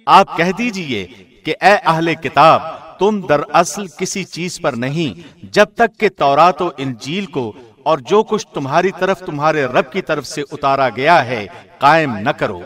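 Higher-pitched man reading scripture formally. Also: expressive, a bit bright. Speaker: translation reader, radio host. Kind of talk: scripted scripture translation narration.

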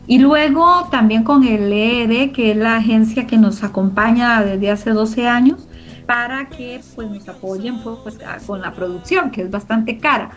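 A woman's voice: a little high, measured pace. A woman explaining her radio production work in an interview, searching for her words as she goes. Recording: muffled sound with music underneath. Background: music.

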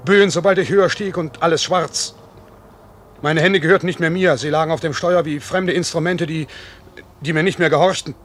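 A man acting a dutiful young-sounding night pilot with a fairly high voice. He speaks earnestly in an old-fashioned radio play style, on a noisy vintage recording. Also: fairly even intonation, a little fast, fairly bright tone, close to an everyday voice. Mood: shaken, contrite. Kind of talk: 1950s German radio drama acting.

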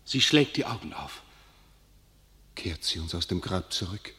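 An actor playing a grief-stricken nobleman who speaks in hushed, sorrowful verse in a classic radio play. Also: low voice, lively intonation, unhurried, slightly rough, heavily acted. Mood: grieving.

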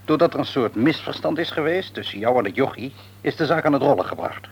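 An actor in a radio drama playing an older father who reasons frankly and gently reproves his grown son. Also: medium pitch, lively intonation, quick pace, slightly rough. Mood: frank, reproving. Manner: reasoning, hesitant at times, frank.

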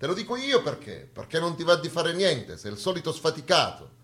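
The boastful North, a haughty figure in comic theatre. The voice sits high and leaps around in pitch, scornful and impatient, with a theatrical mocking edge. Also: male voice, huge pitch swings, fairly quick, fairly bright tone, full character voice. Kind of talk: theatrical comic monologue dialogue.

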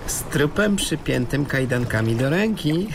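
A man's voice: mid-range voice, animated, measured pace, creaky, noisy background. A man acting in a radio play as a dogged police officer, smug, sardonic and stern, taunting with emphatic delivery.

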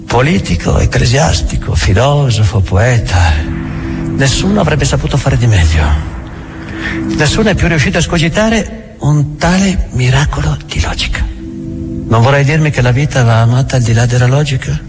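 An actor playing a cynical, accusing inquisitor in a theatrical monologue, halting and full of rhetorical questions, weary and menacing. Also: male voice, medium pitch, animated, natural voice. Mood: insistent. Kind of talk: theatrical monologue, radio drama. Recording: music under the voice. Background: music.

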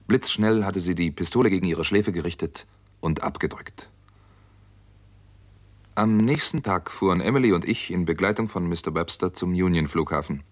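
A man narrating a 1960s German radio crime drama in the first person, sober and matter-of-fact. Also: deep voice.

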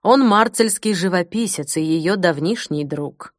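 A woman playing a wise, grave old foster mother in an audiobook, speaking in a measured, solemn way. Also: lowish voice, animated, no room sound, studio quality. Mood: grave, consoling. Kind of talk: audiobook character acting.

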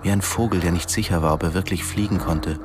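Actor as low-voiced, reserved diving instructor. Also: male voice, steady tone, quick pace, music in the background, natural voice. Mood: absorbed. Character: reserved male diving instructor, terse, inwardly absorbed. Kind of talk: radio drama narration and dialogue.

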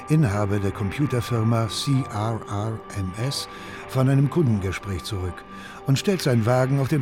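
A man giving sober, factual scripted narration for a true-crime radio documentary. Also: lowish voice.

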